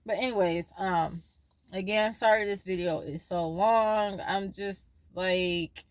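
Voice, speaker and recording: A woman's voice: mid-range voice, steady tone, slow pace, no room sound. Rambling, worried young woman vlogging. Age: 20s. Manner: rambling, loses her train of thought; worried, faith-filled musing. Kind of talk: casual personal vlog.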